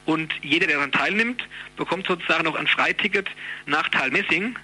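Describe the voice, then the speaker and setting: A man's voice: fairly high pitch, brisk, sharp tone, breathy edge, poor audio. A man giving an informal interview as a union youth representative, explaining things in a colloquial way.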